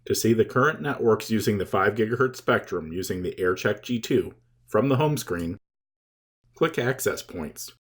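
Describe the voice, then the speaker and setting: Male tutorial presenter, slightly deep voice, reading scripted how-to material in a measured, methodical manner. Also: animated. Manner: measured, step-by-step explaining. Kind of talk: scripted instructional narration.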